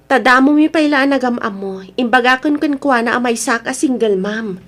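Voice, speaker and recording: A woman's voice: a little high, normal speed, fairly bright tone, close to an everyday voice. A woman acting in an Ilocano radio drama as a lovestruck, stubborn adult daughter and single mother, with an Ilocano accent. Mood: pleading, earnest.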